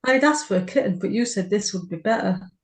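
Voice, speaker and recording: A woman's voice: mid-range voice, lively intonation, fast talker. A woman co-hosting a writers' podcast, reading short fiction aloud. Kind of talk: story reading.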